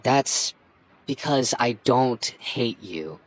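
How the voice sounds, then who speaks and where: A man's voice: medium pitch, lively intonation, drawn-out words, rough recording. A man playing a stubborn young childhood friend confessing his love in an intimate roleplay, speaking earnestly with stammers and false starts. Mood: apologetic. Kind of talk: ASMR roleplay, intimate one-sided dialogue.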